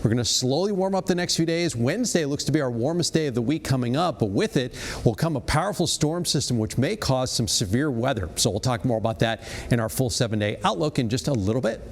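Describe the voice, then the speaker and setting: A man's voice: medium pitch, expressive, big-room sound. A man giving the morning weather forecast on local TV in a conversational way. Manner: conversational, brisk. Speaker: meteorologist.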